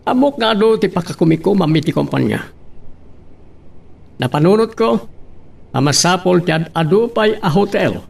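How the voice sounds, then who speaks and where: A man acts out a Filipino-accented father and company boss in an audio drama. His voice sits notably high for a man, with a creaky, gravelly edge. He talks with steady, conversational authority. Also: normal speed, long pauses, full character voice. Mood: businesslike.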